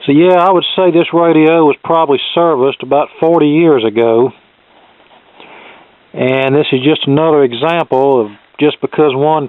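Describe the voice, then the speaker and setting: A man's voice: mid-range voice, no room sound. A man giving casual, unscripted demonstration commentary as a hobbyist repairer, plain-spoken and thinking aloud as he goes. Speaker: vintage radio repair hobbyist presenter. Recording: thin, phone-like band-limited sound.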